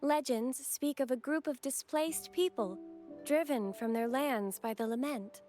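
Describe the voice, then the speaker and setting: A woman voicing a gentle young female acolyte in a game, courteous and devout, with pleasant, clearly enunciated, formal delivery. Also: high-pitched. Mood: solemn, reverent. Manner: formal, measured storytelling. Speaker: game character, guide. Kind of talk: scripted game dialogue and legend narration. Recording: background music under voice.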